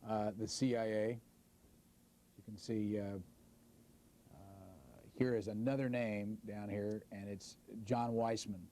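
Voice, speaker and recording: A man's voice: on the low side, little pitch movement, takes it slowly, long pauses, dark tone, a little hiss or hum. A man interviewing a guest, asking short, direct questions.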